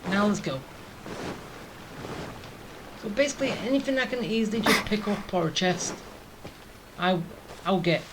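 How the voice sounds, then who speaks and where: A woman's voice: medium pitch, expressive, a little slow, long pauses, background noise. A woman doing casual gameplay commentary, muttering offhand remarks to herself. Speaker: gaming streamer. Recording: loud game music behind voice. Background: game music and effects.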